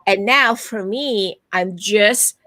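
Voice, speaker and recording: Lively Malaysian-born woman, dry close sound. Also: medium pitch, slow pace, dry recording. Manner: animated storyteller, frequent "right?" tags. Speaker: guest, virtual event producer. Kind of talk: casual interview chat.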